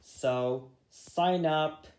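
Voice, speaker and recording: A story reader narrating a storybook in an animated, deliberate way. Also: medium pitch. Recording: roomy echo.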